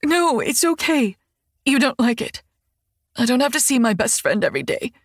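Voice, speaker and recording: A woman voicing a tender, emotional young woman who is crying, in a soft, halting audiobook reading. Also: medium pitch, no echo, clean studio sound, lightly acted. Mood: tearful. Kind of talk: audiobook character voice.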